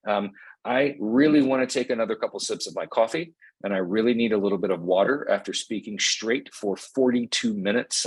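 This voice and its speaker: A man hosting an online information session, talking in a relaxed, conversational way with plenty of fillers over a video call. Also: fairly low pitch, husky. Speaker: associate dean hosting an info session. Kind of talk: webinar presentation and hosting. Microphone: computer mic on a video call.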